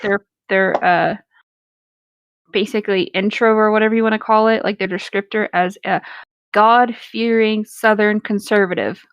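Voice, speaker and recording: A woman's voice: medium pitch, steady tone, moderate pace, clean tone, dry recording, highs cut off. A woman chatting casually with friends in a candid, conversational way. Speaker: co-host reading horror stories in a group.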